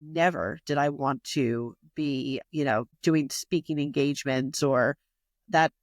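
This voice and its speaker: A woman's voice: a bass voice, unhurried, dry recording. A woman guest in a casual podcast interview, talking in a rambling way with many fillers and restarts. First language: not Italian. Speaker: magazine publisher and guest.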